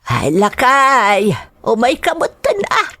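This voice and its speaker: A woman's voice: on the high side, sing-song, slow pace, sharp tone, dry recording, studio quality, heavily acted. A woman playing a caring old grandmother in a radio drama, homely and coaxing, with an Ilocano accent. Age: older. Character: caring, unhurried old grandmother busy in the kitchen. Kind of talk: Filipino radio drama acting.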